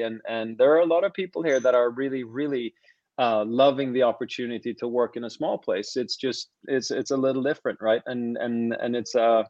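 A man's voice: medium pitch, darkish tone, no echo. Hesitant man on a dull remote line. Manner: hesitant, repeats words, restarts often. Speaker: guest, company founder. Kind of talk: casual interview answers.

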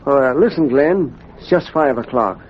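A man's voice: mid-range voice, animated, moderate pace, slight background noise, close to an everyday voice. An actor playing a genial, fatherly football coach giving instructions in an old radio drama. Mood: genial, instructive. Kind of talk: 1940s radio drama acting. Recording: dull old radio recording.

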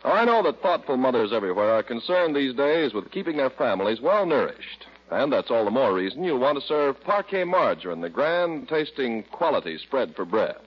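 A man announcing on 1940s radio, reading sponsor copy and scene narration with a polished, emphatic salesman delivery and husky voice. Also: mid-range voice, very animated, brisk, hardly any pauses. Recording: dull vintage radio recording.

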